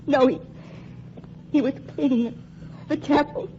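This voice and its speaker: A woman's voice: high voice, steady tone, unhurried, mellow, a little hiss or hum. An actress playing a frightened but defiant young woman spy in 1940s radio acting style. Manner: defiant and breathless. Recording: muffled 1940s radio recording.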